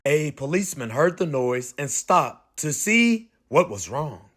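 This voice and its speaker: A man reads a children's picture book aloud with animated, expressive storytelling. His voice sits high and swoops freely, bright and forward. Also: measured pace. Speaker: read-aloud host.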